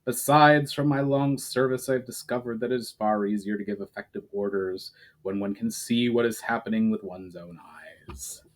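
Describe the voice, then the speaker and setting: A man voicing a seasoned, grey-haired army general with measured, assured authority in a fantasy story. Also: on the low side, close to an everyday voice.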